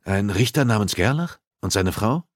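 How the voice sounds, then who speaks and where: A man voicing a tense, insistent policeman with a brisk, questioning manner in an audiobook. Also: fairly low pitch, animated, dry recording, clean studio sound. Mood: brisk, calm. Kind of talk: audiobook character dialogue.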